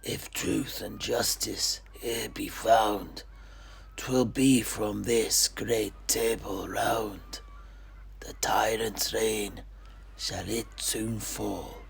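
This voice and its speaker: A man playing a solemn noble conspirator, declaiming rhyming mock-Shakespearean verse with deliberate emphasis. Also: lowish voice, steady tone, creaky, breathy edge, heavily acted.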